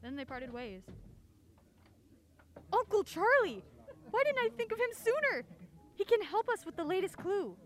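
A woman acting an anxious, quick-witted young woman on the run in a radio drama. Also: extremely high pitch, sing-song. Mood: cheerful, candid.

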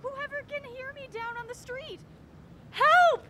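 An actress playing an impulsive, indignant woman caught in a mystery game in an audio drama. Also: a little slow. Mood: panicked. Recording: noisy recording with rumble.

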